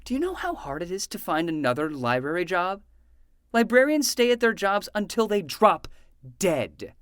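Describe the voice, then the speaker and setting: Casting: a woman as a harried, sarcastic librarian man for audio drama, swinging pitch, close dry sound. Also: very animated, normal speed, no room sound, close to an everyday voice. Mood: bitter, sarcastic. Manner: exasperated, sarcastic, ranting. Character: exasperated, sarcastic male librarian, grown adult. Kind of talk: fiction podcast acting.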